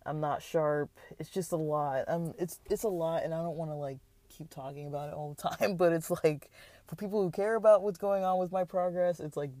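A slightly raspy, stuffy speaker with a mellow, dark tone talks candidly in a personal vlog. The delivery rambles and restarts, padded with fillers. The recording is dry, with no room sound. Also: medium pitch, no echo. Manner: rambling, hesitant, many fillers; candid and reflective. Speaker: vlogger. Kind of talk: casual personal vlog talk.

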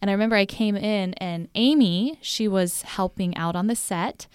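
Woman, podcast host, chatty, encouraging, clear voice, dry studio. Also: mid-range voice, moderate pace, dry recording. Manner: chatty, encouraging, lots of affirming hums. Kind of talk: casual interview chat.

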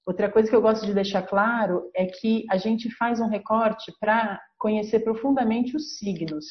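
A Brazilian woman hosts a podcast as an astrologer, laying out her subject step by step like a patient lesson. Her voice sits at a middle pitch. The recording sounds a little dull and lo-fi. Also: normal speed. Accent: Brazilian Portuguese. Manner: didactic, explains step by step.

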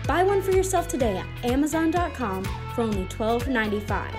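A woman's voice: a little high, sing-song, brisk. A young woman reading scripted announcements and ad copy for an amateur radio drama. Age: teenager. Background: music.

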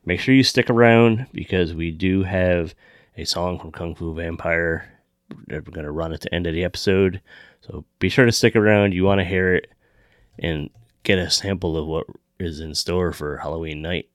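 Man, podcast co-host, very low voice, dry close sound, loose sweary chat. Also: moderate pace, no room sound. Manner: informal, swearing, rambling. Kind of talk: casual chat, signing off a show.

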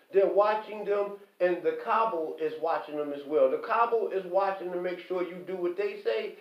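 High-voiced man ranting in an echoey room. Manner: emphatic, repetitive, keeps asking "okay?"; preachy, quotes scripture. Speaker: online commentator. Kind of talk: impassioned monologue. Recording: echoey, distant, dull lo-fi.

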